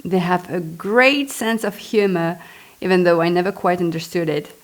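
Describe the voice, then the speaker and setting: A woman from Belgium, a native French speaker with a Belgian French accent, reads her own memoir aloud in a chatty, expressive way with spontaneous asides. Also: on the low side, moderate pace. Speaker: author reading own book.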